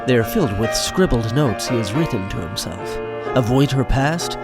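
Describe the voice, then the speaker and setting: A man's voice: mid-range voice, lively intonation, normal speed. A man narrating a scripted comic audio drama in a wry storytelling manner. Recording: music behind the voice.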